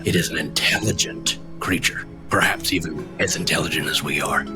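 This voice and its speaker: A man playing an earnest investigator in a fantasy audio drama, halting and pausing for drama. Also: rumbling low, steady tone, creaky, music in the background. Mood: earnest, ominous.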